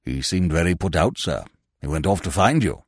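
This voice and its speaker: A man's voice: low-pitched, animated, normal speed, no room sound, clean studio sound, voice pushed down. A man voicing a put-out club cloakroom attendant, deferential yet coldly grumbling, in an audiobook. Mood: coldly reproachful. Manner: deferential, grumbling, servile "sir". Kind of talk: audiobook character voice.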